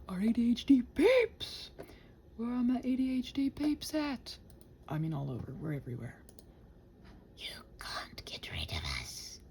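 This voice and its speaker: Animated woman rambling, often dropping into whispers. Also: high voice, slight background noise. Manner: meandering, muttering to herself, dropping into whispers; playful, sarcastic asides. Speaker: ASMR and audio channel creator. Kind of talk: casual rambling body-doubling chat to listeners.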